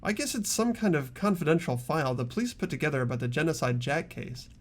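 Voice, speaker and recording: A man's voice: fairly high pitch, animated, fast talker. A man voicing an earnest, thoughtful teenage boy detective, tentatively reasoning in an amateur game dub. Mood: puzzled.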